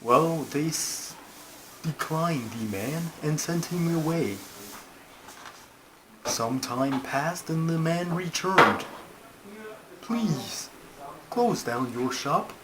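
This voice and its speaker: Guy doing a pompous turtle puppet storyteller, higher pitched, super slow n formal, touchy, noisy homemade recording. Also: lively intonation. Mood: grave storytelling. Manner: ponderous, formal, easily put out. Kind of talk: comic scripted storytelling. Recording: noisy amateur home recording.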